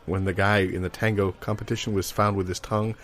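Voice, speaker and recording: A man with a fairly low voice riffs in improvised comedy banter. He sounds mock-earnest and keeps feeling around for the right word. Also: little pitch movement, fast. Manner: groping for words, mock-earnest.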